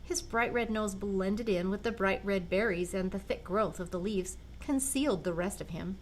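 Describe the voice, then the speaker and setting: An older woman, a grandmother, reading a children's picture book aloud in a gentle, expressive way. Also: mid-range voice, normal speed, slight background noise, rough recording. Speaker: storyteller grandmother.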